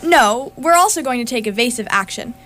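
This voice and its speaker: A woman acts a thrill-hungry starship captain in a sci-fi radio comedy. The voice is very high, brash and commanding. The live stage recording carries some noise. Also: expressive, brisk, fairly bright tone. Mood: cocky, excited. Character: cocky, thrill-seeking spaceship captain chasing fame and money. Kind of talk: comic science fiction radio theatre.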